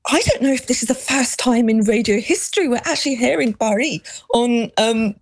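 A woman's voice: fairly high pitch, animated, a little fast. Chatty middle-aged woman. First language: Urdu. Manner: chatty, with many fillers and restarts. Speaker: guest, exhibition creator. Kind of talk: radio interview.

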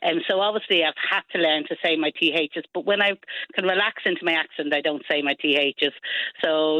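A middle-aged woman with a soft Dublin Irish accent, chatting as a radio guest and explaining with examples. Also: deep voice, no room sound, muffled recording. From Dublin, Ireland. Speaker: studio guest. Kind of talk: casual radio chat.

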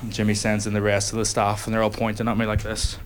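A young man telling a stand-up comedy story to a live audience, with self-deprecating, deadpan asides. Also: lowish voice, quick pace, heavy background noise.

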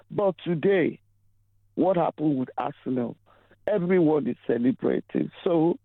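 Man phoning into a radio show, earnest and preachy, slow emphatic talk, thin phone-line sound. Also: fairly high pitch, gravelly, no echo. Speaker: radio caller. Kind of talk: phone-in call. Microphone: telephone.

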